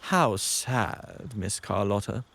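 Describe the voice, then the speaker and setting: An actor playing a stern, sanctimonious zealot in a video game, pompous and menacing in a deliberate delivery. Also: male voice, on the low side, animated, creaky, fairly bright tone, heavily acted. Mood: mock pity. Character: stern, sanctimonious male zealot of a religious order. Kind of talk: dramatic video game voice acting.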